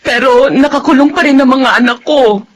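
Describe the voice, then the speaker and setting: Actress as a high-voiced distraught Filipino mother. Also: normal speed, a little hiss or hum, natural voice. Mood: troubled, pleading. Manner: pleading, emotional. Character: distraught widowed mother of two troublesome sons. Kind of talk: Filipino radio drama acting.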